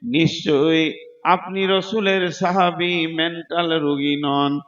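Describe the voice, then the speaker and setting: A man's voice: on the high side, fairly even intonation, fairly bright tone. A man preaching an Islamic sermon, telling religious stories emphatically, drawing out words and slipping into a half-sung chant. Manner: slow, emphatic, half-sung delivery with drawn-out words and repeated phrases. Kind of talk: Islamic sermon with chanted Quran recitation and dramatic storytelling. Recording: dull, lo-fi sermon recording.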